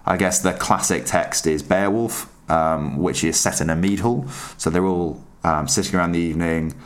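A man with a cold, speaking as a podcast guest and explaining things in a relaxed way with lots of fillers and restarts. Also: low voice, moderate pace. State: under the weather, voice deeper than usual. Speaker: podcast guest, mead maker. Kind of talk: casual podcast chat.

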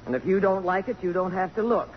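An actress playing a poised, sentimental but strong-willed middle-aged congresswoman, with a crisp, commanding and emotional delivery in 1950s radio drama style. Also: medium pitch, fast, natural voice. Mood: defiant, wry. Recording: dull, noisy old radio broadcast.

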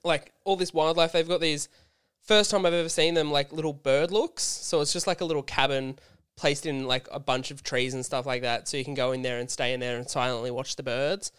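A man in his thirties with an Australian accent, slightly hoarse, telling stories animatedly in casual chat. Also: a little high, fairly quick. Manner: animated storyteller, swears casually.

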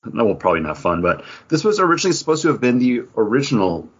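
A man with a husky voice and very lively intonation, speaking as a casual podcast co-host. He voices his own reactions mid-sentence. The recording is a little dull. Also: medium pitch, fast. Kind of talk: casual chat about comics and TV.

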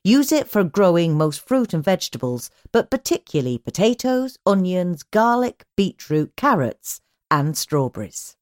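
A woman reading magazine articles aloud as a scripted narration, in a measured, instructional way with clear phrasing. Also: fairly low pitch, very animated, a little mellow, no room sound, studio quality. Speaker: magazine audio edition narrator.

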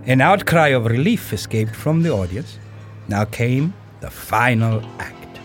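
An actor playing a proud circus troupe director, recounting a high-wire act in an audio play with suspenseful, emphatic pauses. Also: male voice, animated, faint music behind, close to an everyday voice. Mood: suspenseful, grave. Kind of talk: dramatic first-person storytelling in an audio play.

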